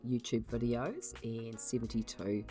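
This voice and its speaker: A woman with a croaky voice from being unwell, hosting a casual software tutorial and explaining her steps in a chatty way with many ums. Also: rumbling low, fairly even intonation, measured pace, soft background music. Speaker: YouTube channel host.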